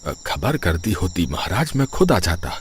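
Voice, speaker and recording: A man's voice: low-pitched, big pitch swings, normal speed, a little hiss or hum. A man voicing a humble, honest brahmin in a cartoon story, who speaks deferentially and hesitantly. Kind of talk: cartoon voice acting. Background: background music.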